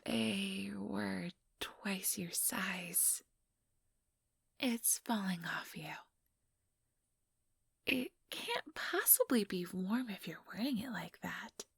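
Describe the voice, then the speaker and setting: A woman voicing an ambitious, teasing vampire in an ASMR roleplay, breathy and whispery, with a sugary, mocking condescension. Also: medium pitch, animated, drawn-out words, pauses often, a bit bright, no echo, clean studio sound, exaggerated voice. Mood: mock-sweet. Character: ambitious, teasing female vampire, smugly scheming.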